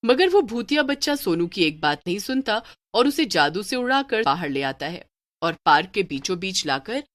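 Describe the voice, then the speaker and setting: A woman's voice: medium pitch, dry recording. A woman narrating a scripted cartoon horror story as a storyteller.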